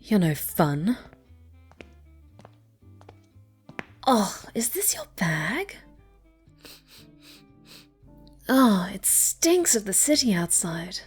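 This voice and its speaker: A woman's voice: mid-range voice, very animated, slow pace, breathy edge, faint music behind, exaggerated voice. Woman acting a snobby, bratty cat girl. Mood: bratty, disdainful. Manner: haughty, pouty, dismissive. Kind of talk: ASMR roleplay acting.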